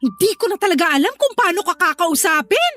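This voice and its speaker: A woman's voice: extremely high pitch, sing-song, brisk, pushed and bright, full character voice. A woman playing a strict, irritable, scolding older sister in a Filipino audio drama, with a Filipino accent. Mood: exasperated, sarcastic. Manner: scolding, sarcastic. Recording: music behind the voice. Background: music.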